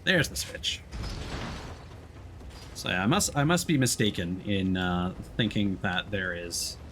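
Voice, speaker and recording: A man's voice: deep voice, big pitch swings, measured pace, a bit bright, a little hiss or hum. A man livestreaming a video game, chatting casually and in a friendly way with his viewers. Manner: friendly, addresses chat viewers by name. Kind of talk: casual chatty stream talk while playing.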